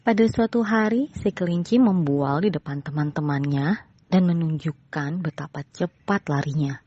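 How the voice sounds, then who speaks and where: A woman's voice: low voice, moderate pace, warm tone, no room sound, dull audio. A woman narrating a scripted children's story as a storyteller.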